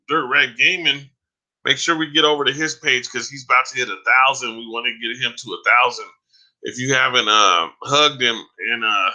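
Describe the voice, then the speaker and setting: A man hosts a casual live stream and talks straight to his viewers, with lots of fillers and "man" at the ends of lines. His voice is very bright and tense, and it is getting hoarse after a long time on air. He has a mid-range pitch and rambles loosely from one point to the next. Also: rough recording. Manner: addresses viewers by name, says "man" often. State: voice going hoarse.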